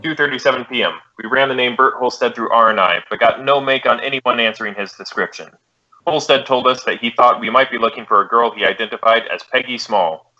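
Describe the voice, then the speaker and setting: An American actor playing a terse, businesslike police detective who narrates an old radio crime drama in a deadpan, polite way. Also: male voice, medium pitch, fairly quick, soft background music. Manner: deadpan, matter-of-fact, polite. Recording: dull, band-limited old radio recording.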